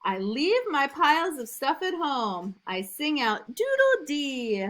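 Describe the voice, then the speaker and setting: A woman reading a rhyming storybook as an eager, imaginative little boy, in an emphatic sing-song with drawn-out line endings. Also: sits high, big pitch swings, a bit bright, pitched above the performer's own voice, full character voice. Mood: eager, excited. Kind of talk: rhyming storybook read aloud.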